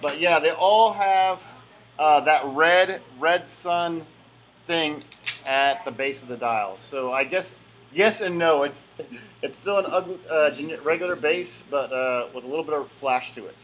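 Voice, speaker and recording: A man's voice: a little high, a little slow, a bit bright, slight background noise, poor audio. A young man chatting casually while opening packs, rambling with many fillers and restarts. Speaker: collectible game unboxer. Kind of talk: casual unboxing commentary.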